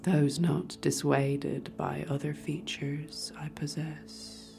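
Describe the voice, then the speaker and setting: A haughty female medusa purrs and whispers in a low, gravelly register. She drawls slowly and disdainfully. Faint music plays beneath the audio drama. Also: level tone, warm tone, full character voice. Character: proud female medusa hiding behind a screen, bored and sneering.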